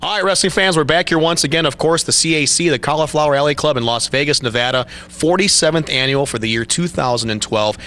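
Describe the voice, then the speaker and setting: A man's voice: a little high, fast talker, hardly any pauses, pushed and bright, a little hiss or hum. A middle-aged man interviewing guests at a convention with a handheld mic in an indoor room, talking in an upbeat announcer manner. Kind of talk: event interview.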